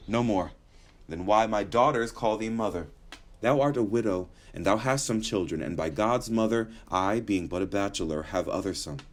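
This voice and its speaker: A man's voice: fairly low pitch, normal speed, close to an everyday voice. An actor playing a lusty, commanding bachelor king, teasing and imperious in theatrical Shakespearean dialogue. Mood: insistent wooing.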